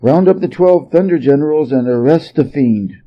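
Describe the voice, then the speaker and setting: A man playing a stern, aloof celestial emperor in a storybook reading, formal and measured, on a slightly muffled recording. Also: fairly high pitch, lightly acted. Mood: commanding, calm. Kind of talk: storybook character voice.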